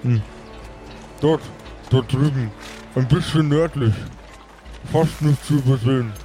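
Frail undead old local played by a man: soft-toned, courteous, helpful, unhurried, for a fantasy tabletop play. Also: mid-range voice, faint music behind, lightly acted. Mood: mild, helpful. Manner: halting, polite, unhurried.

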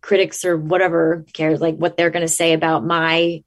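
A woman actress talking casually in an interview, thinking aloud and restarting her sentences, her pitch jumping up when she makes a point. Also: level tone, moderate pace. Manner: rises in pitch when emphatic.

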